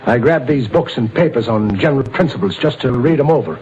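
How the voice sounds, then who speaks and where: A man's voice: mid-range voice, expressive, fairly quick, a little mellow, close to an everyday voice. An actor playing a loyal, hot-tempered male sidekick in a 1940s radio drama. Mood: gruff. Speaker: detective's partner. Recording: dull lo-fi old radio recording with hiss.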